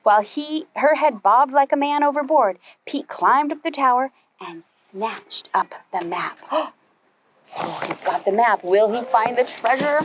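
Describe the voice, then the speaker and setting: A woman reading a children's story aloud as a preschool storyteller, animated and putting questions to her young listeners. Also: fairly high pitch, unhurried, a little hiss or hum, rough recording.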